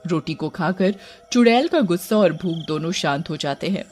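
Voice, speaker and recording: A man's voice: sits high, animated, moderate pace, a little mellow, faint music behind. A man narrating a scripted horror bedtime story as the storyteller.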